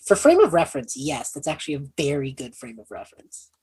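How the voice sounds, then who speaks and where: A woman playing an awkward, sheepish young male mage student lost in time, husky and halting, in a tabletop game. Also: low voice, wildly swooping pitch, a little mellow, heavily acted. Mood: awkward explaining. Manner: halting, evasive, rambling.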